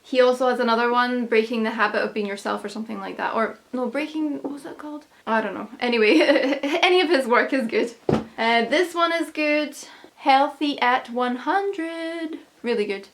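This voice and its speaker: Young Scottish woman, fairly high voice, chatty vlogger talking straight to camera, bright and easygoing. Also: moderate pace, a little hiss or hum. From Scotland. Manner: chatty, upbeat, laughs between thoughts. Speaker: YouTube vlogger. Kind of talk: casual talk to camera.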